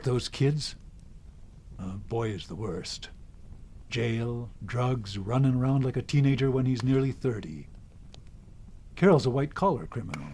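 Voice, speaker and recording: An actor playing a bitter, cagey older American father in an audio drama, gruff, folksy and unsentimental. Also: medium pitch, a little mellow. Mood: bitter, weary.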